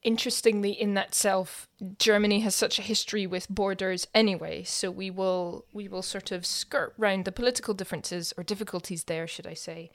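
A woman's voice: mid-range voice, fairly even intonation, a little fast, hardly any pauses. A woman hosting a podcast in a chatty, conversational way, laughing easily. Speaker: podcast host.

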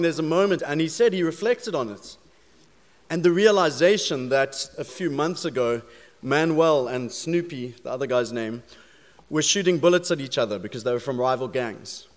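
A man with a South African accent preaching a sermon in a church sanctuary, telling stories with humor before moving into prayer. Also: fairly high pitch. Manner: storytelling, jokey then prayerful. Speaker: pastor. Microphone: handheld or stage microphone. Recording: long hall reverb in sanctuary.

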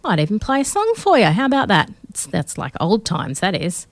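A woman's voice: mid-range voice, wildly swooping pitch, brisk, no echo. An Australian woman in her thirties hosting a radio film show, chatting casually with many fillers, her voice a bit crackly. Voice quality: slightly crackly voice. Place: radio studio. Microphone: studio mic.

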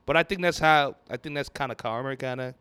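A man from Nigeria, a comedian telling stories in a rambling, animated way during a casual podcast chat. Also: medium pitch, fairly quick, clear, resonant tone, no room sound. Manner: rambling, animated storytelling with quoted voices. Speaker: comedian guest.

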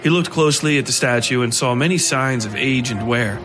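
A man's voice: mid-range voice, brisk. A man narrating scripted horror fiction as a storyteller. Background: music under the voice.

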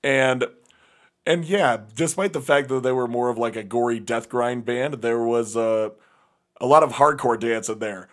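A young man with a slightly raspy voice chatting casually as a podcast host, laughing often and rambling through fillers. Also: mid-range voice, normal speed, fairly bright tone. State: slightly raspy voice after a gig. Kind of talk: casual chat.

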